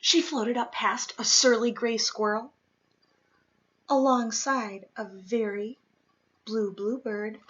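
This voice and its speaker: A woman with a bright, fairly high voice reads a children's story aloud. She takes her time and stresses key words dramatically. The recording is a little dull and lo-fi. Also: fairly even intonation, takes it slowly, long pauses. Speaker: storybook reader. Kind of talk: expressive children's picture book read-aloud.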